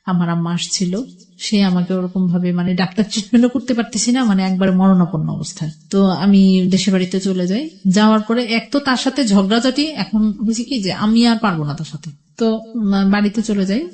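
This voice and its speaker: A woman with a Bangladeshi accent shares a personal story as a guest on a radio show. She speaks at a middling pitch in loose, colloquial sentences that wander from point to point. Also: fairly quick, a little mellow. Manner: rambling, colloquial, quotes past conversations. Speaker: guest telling own life story.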